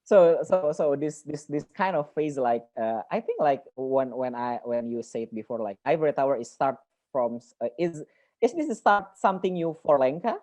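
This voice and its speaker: Halting low-voiced woman interviewer. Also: animated, dark tone. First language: Indonesian. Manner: halting, repeats words, non-native phrasing. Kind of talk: interview questions.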